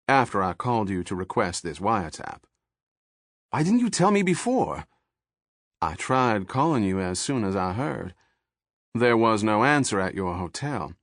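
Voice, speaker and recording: A man's voice: fairly low pitch, dry recording, clean studio sound, natural voice. A man doing a refined, formal FBI agent. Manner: measured, formal.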